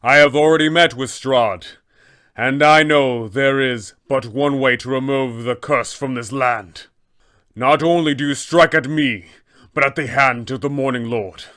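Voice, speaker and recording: A man voicing a booming fallen angel abbot. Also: steady tone, a bit bright, close to an everyday voice. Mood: righteous. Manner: slow, booming, proclamatory delivery. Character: fallen angelic male abbot, solemn and grandiose.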